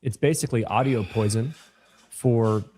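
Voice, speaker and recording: A man in a played clip giving slow, solemn moral commentary. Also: mid-range voice, warm tone, a little hiss or hum.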